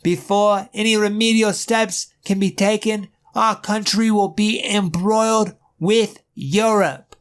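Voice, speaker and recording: A man's voice: sits high, fairly even intonation, slow pace, few pauses, clean tone. A man voicing a nervous, corrupt male senator in a comic dub, fretful and sputtering.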